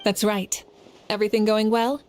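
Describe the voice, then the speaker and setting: A woman voicing a composed, caring young leader in an anime game, speaking with calm assurance. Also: mid-range voice, normal speed, slight background noise, natural voice. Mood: assured. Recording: faint music behind.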